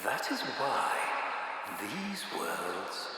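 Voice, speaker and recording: An actor playing a grandiose, bitter, scheming tyrant in a video game, theatrical and pompous, often dropping toward whispers. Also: male voice, lowish voice, sing-song, very slow, sharp tone, a little hiss or hum, heavily acted. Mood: grand, zealous. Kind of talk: scripted video game voice acting. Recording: long hall-like reverb.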